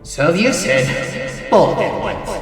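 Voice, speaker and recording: Sly, needling robot lieutenant, highish and wry, theatrical, long reverb with music behind. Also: male voice, expressive. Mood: amused, nostalgic. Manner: theatrical, wry, drawn-out teasing. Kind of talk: dramatic comic dub acting.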